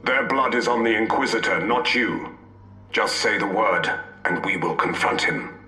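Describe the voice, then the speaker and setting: Assured loyal space officer in a game, forceful tense voice, faint music, defiant. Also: male voice, lowish voice, moderate pace. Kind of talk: video game cutscene acting. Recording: echoey, faint music behind.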